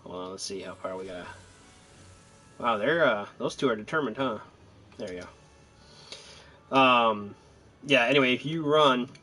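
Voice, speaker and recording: A man's voice: medium pitch, lively intonation, normal speed, pauses often, bright, forceful tone, slight background noise. A man giving casual, rambling commentary on his own video game playthrough, thinking aloud as he goes. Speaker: gamer narrating own playthrough.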